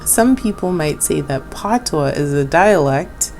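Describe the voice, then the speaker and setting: A woman hosting her own vlog, talking in a gentle, affirming way with small laughs, raised in Jamaica. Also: deep voice, expressive, measured pace, faint music behind. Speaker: channel host. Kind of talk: casual vlog talk.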